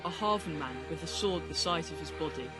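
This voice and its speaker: A man narrates a fantasy audio play in a scripted storytelling style. His voice is on the high side, and music plays clearly behind him. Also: animated, fairly quick.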